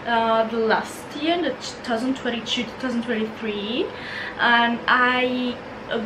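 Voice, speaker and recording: A woman's voice: high-pitched, background noise. A twenty-year-old woman with an Italian accent, chatting casually to camera in her bedroom, often repeating and restarting words. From Italy. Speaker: vlogger. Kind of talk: casual Q&A chat. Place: bedroom, indoors.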